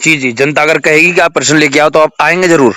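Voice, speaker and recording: A man conducts a call interview in a fairly high voice. He is courteous and attentive in his replies. The sound is dry, with no room echo. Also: fast, pushed and bright. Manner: polite, frequent acknowledging replies. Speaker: channel interviewer. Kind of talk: phone interview.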